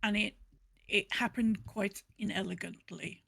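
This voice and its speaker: A woman's voice: mid-range voice, fairly even intonation, a little slow, gravelly, fairly bright tone, breathy edge, no room sound, studio quality. A woman co-hosting a podcast, chatting casually and reading out listener submissions. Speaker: podcast co-host.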